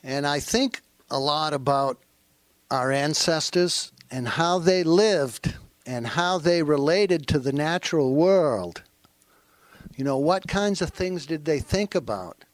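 Maine-accented man, panel speaker, unhurried and earnest, slightly high voice, dry sound. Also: animated, no echo. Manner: slow, earnest and reflective. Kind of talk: panel remarks.

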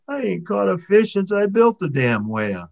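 Man doing a cussing fisherman, gruff and scoffing, lively pitch swings, highish voice. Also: dull audio, exaggerated voice. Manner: gruff, scoffing backwoods talk. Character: brash, cussing male fisherman, middle-aged.

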